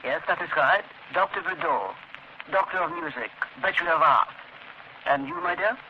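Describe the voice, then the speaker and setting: An actor in a 1930s radio drama playing a vain, menacing composer and music doctor, pompous and effusive. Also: male voice, a little high, moderate pace, natural voice. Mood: grandly flattering. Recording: muffled 1930s radio recording, faint noise.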